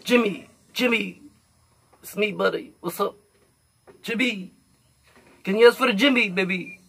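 A man's voice: sits high, a little slow, vocal fry, a bit bright, breathy edge, heavily acted. A young man playing an eccentric, pleading prank caller in a comedy show, theatrical and prone to sudden swings. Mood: secretive, insistent. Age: young adult. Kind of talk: prank phone call comedy. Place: small cluttered room.

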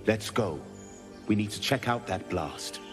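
A man playing a gruff, terse scout in a fantasy game. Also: fairly low pitch, lively intonation, a little slow, over music. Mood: gruff, reserved. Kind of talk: cinematic fantasy game acting.

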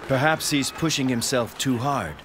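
A man voicing a loyal, earnest young general in a video game, steady and sincere. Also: mid-range voice, moderate pace, background noise, natural voice. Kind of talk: scripted video game cutscene acting.

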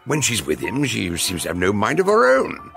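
A man's voice: on the low side, wildly swooping pitch, moderate pace, creaky, a bit bright, soft background music, full character voice. An actor playing a grumbling old colonel who blusters through his sentences.

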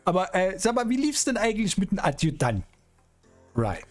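A middle-aged man, chatting casually as a streamer in a role-play game with drawn-out, teasing phrasing. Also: sits high, very animated. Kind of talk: casual in-character gaming chat. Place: indoor streaming room. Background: music.

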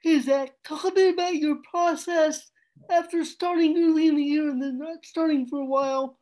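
Female sports reporter, high register with a dark, relaxed tone, posing press conference questions. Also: moderate pace, rough recording.

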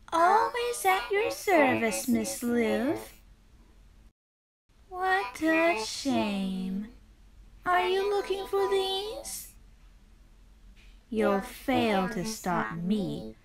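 A woman's voice: extremely high pitch, very animated, very slow, pauses often, exaggerated voice. A woman voicing an earnest female cartoon pony princess for amateur character voice lines. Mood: calm, defiant.

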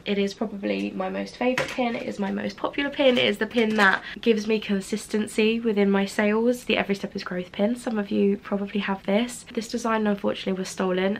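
A woman's voice: medium pitch, vocal fry. A young woman talks straight to camera in her small home studio, her voice stuffy and nasal from a lingering cold. She chats loosely, trailing off and restarting her sentences, with a faint hum of background noise under her voice. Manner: chatty, rambling, many fillers and restarts. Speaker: small business owner and artist. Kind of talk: casual studio vlog chat.

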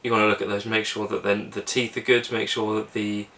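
A young man talks through engine checks in an easygoing, practical way, with a low voice and little rise and fall. He sounds a bit distant from the camera mic in a small cabin, with a faint hiss behind him. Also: a little fast. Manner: relaxed, practical, talks through steps with fillers. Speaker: sailing vlogger. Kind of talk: casual how-to explanation. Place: small boat cabin and engine bay, indoors.

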